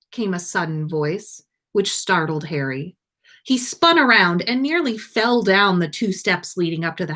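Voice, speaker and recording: A woman hosting and reading stories aloud live, with an enthusiastic, animated storytelling manner. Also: fairly low pitch. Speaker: podcast host and story reader.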